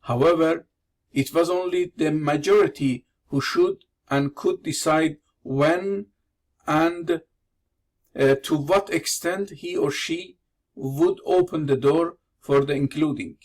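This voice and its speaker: Measured Iranian-born man lecturing. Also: fairly high pitch, expressive. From Iran. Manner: measured, deliberate delivery in non-native English. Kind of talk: prepared lecture with slides.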